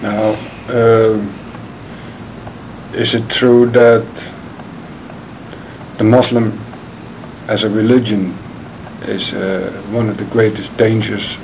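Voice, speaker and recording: A man asking a hesitant, halting question with fillers, heard from a distance. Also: little pitch movement, a little mellow. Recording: distant from mic, low rumble behind.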